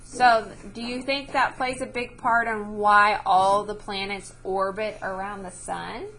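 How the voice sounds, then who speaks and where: A woman's voice: mid-range voice, flat delivery, measured pace, fairly bright tone, slight background noise, poor audio. A woman teacher reading a science book aloud to children, explaining as she goes and checking understanding with short questions.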